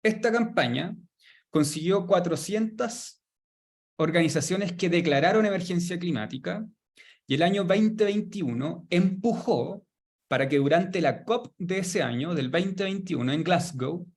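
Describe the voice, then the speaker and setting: A man's voice: high voice, animated, unhurried, a little mellow. A man hosting a webinar, speaking fluently and steadily, introducing a study and the next speaker. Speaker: webinar host.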